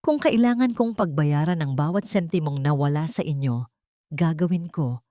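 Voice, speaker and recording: A woman's voice: low-pitched, normal speed, dark tone, no room sound, clean studio sound, natural voice. A woman voicing a broken, anguished, remorseful husband who has been betrayed, in an audio story. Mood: resigned remorse.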